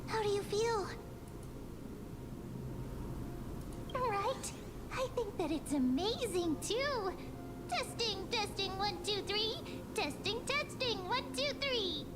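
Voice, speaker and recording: A woman's voice: very high voice, lively intonation, slow, heavily acted. A woman playing a small, cute, girlish fairy creature in a video game, sweet and earnest. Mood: bubbly. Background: background music.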